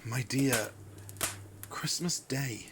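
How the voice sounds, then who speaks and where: A man playing a poor, gentle father who speaks warmly to his family. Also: mid-range voice, very slow, background noise. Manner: gentle, cheerful.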